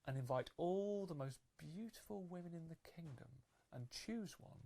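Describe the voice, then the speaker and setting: A woman's voice: lowish voice, lively intonation, moderate pace, creaky, warm tone. A woman telling a fairytale to children as a live storyteller, agreeable and improvising as she goes.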